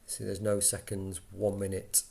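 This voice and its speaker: A man giving an informal software walkthrough, explaining step by step in a conversational way as an instructor. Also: sits low, monotone, normal speed, creaky.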